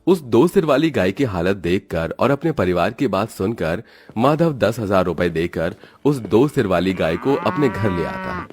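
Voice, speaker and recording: A man narrating a cartoon moral story in a steady, dramatic storytelling style. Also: lowish voice, moderate pace.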